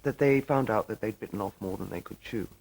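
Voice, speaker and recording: A man giving a measured account in an interview. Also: on the low side, creaky, darkish tone, rough recording.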